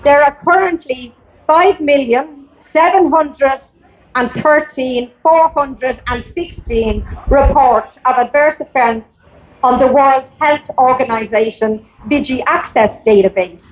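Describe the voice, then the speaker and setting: An Irish woman reading a prepared campaign speech aloud at a public protest, deliberate and emphatic, sometimes stumbling over her script. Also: high voice. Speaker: campaigner giving a public address. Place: outdoors at a public rally. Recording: dull, lo-fi speech recording.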